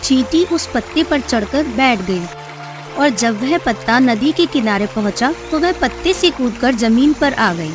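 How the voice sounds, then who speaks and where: Female storyteller for kids' moral tales: high voice, scripted narration, clear music bed. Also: lively intonation, measured pace.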